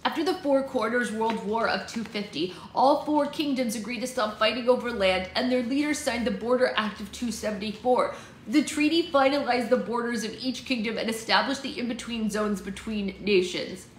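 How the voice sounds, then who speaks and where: A woman's voice: fairly high pitch, normal speed, voice pushed up, lightly acted. Woman as anxious young man, grumbling, dramatic, hesitant, storybook character, roomy recording with slight noise. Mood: determined. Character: nervous, despairing young man, whiny student brother. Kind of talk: character voice in story read-aloud. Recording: echoey room, faint background noise.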